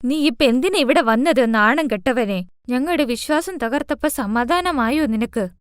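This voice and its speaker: A woman plays an elderly mother-in-law in a cartoon story. She is loving and protective, with a worried manner and a high voice. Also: lively intonation, normal speed, smooth, no room sound, clean studio sound. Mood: angry, reproachful.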